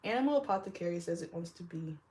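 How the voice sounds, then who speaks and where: A young woman with a deep smoker's voice, giving a casual tarot reading and rambling with lots of "like". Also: lively intonation, fast talker, a little mellow, slight background noise. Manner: rambling, heavy use of "like", laughs often. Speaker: tarot reader.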